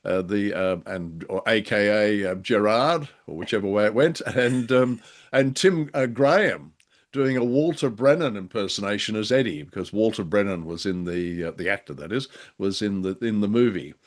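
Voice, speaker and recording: Halting, lower-voiced man in genial conversation. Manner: halting, hesitant delivery, genial. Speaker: podcast co-host. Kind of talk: conversational discussion and review.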